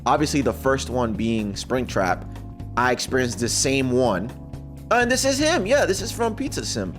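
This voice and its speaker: Animated male reaction host. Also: medium pitch, normal speed, faint music behind. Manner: slangy, bemused. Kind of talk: casual live reaction commentary.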